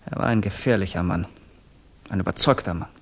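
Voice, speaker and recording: An actor playing a fervent young student revolutionary who confides in a deliberate, rhetorical way, in 1960s German radio drama style. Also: male voice, low voice, slightly rough. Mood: earnest, confessional.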